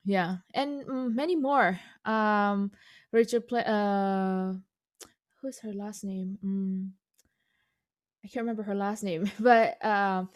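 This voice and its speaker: Female composer guest, Indonesian native speaker, mid pitch, halting, dry recording. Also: fairly even intonation, dry recording. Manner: halting, many fillers and restarts, laughs often. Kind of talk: casual interview.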